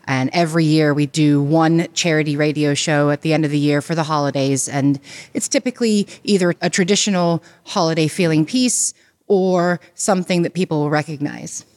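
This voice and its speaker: A woman's voice: sits low, normal speed, darkish tone. A woman from a theatre company explaining its history in a matter-of-fact way. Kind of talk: casual interview answers.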